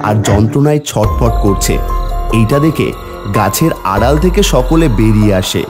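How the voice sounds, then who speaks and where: A man acting as a dramatic, expressive storyteller and village father in a horror cartoon. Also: fairly low pitch, normal speed, lightly acted. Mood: dramatic narration. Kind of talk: dramatic animated story narration and dialogue. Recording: music behind the voice.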